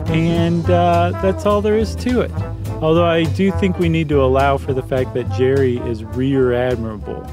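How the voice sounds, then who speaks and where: A man's voice: fairly high pitch, fairly even intonation, moderate pace, music in the background. A man co-hosting a podcast, chatting casually with jokes and rambling asides. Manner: joking, rambling, playful asides. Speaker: podcast co-host. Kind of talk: casual chat.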